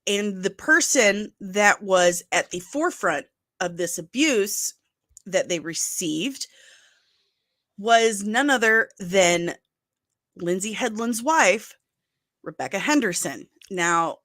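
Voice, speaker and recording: A woman's voice: mid-range voice, animated, slow, a bit bright, dry recording, clean studio sound. A middle-aged woman with a nasal voice, hosting a casual pop culture news livestream, chatty and emphatic. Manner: chatty, emphatic, with asides and laughs.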